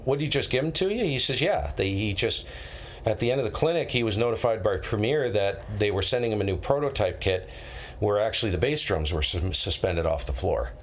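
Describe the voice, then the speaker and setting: Low-pitched middle-aged American man chatting casually. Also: animated, brisk, a little hiss or hum. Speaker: live stream host. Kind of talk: casual chat, rambling anecdotes.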